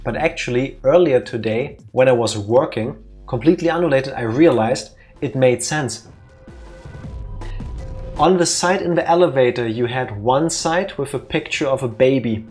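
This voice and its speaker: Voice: mid-range voice, moderate pace, a little mellow, faint music behind. A co-host of a let's-play, chatting casually and reading game text aloud with dry jokes. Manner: joking, dry reading of on-screen lines. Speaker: co-host playing a video game.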